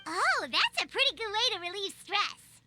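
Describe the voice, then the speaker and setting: A woman voicing a small, excitable, childlike floating fairy girl in a video game, chirpy and curious. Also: extremely high pitch, moderate pace, faint music behind, heavily acted. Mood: curious, surprised. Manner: chirpy, refers to self in third person. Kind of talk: video game character dialogue.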